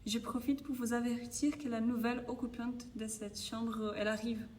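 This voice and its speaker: A woman's voice: fairly high pitch, level tone, normal speed, far from the mic, heavy background noise, natural voice. A woman playing a polite, businesslike nurse in a French audio drama.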